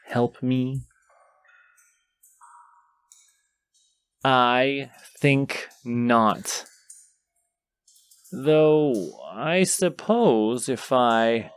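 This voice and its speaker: Man voicing a slow, haughty dragon. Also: medium pitch, clean tone, no echo. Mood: scornful, haughty. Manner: pompous, deliberate, drawn-out phrasing. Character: proud, haughty wounded male dragon, mighty and condescending. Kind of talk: slow, theatrical fantasy audio roleplay.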